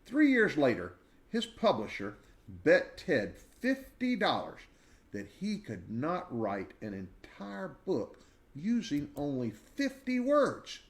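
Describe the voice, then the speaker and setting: A man in his sixties reading a children's biography aloud in a measured, expressive storyteller's way, with dramatic pauses. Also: fairly high pitch. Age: 60s. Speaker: read-aloud narrator.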